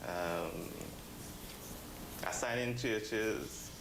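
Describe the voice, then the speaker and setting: A man giving explanatory interview answers in measured, halting phrases. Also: lowish voice, vocal fry. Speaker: interview guest, church committee member. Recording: roomy echo with background noise.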